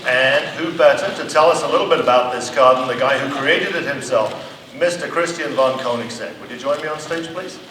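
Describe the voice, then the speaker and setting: A man works as interviewer on a live stage, his medium-pitched voice ringing around a big hall. He asks his questions plainly, with a wry touch, over clear background noise. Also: a little fast. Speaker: interviewer and presenter. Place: large convention hall stage. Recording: echoey hall, distant, noisy background.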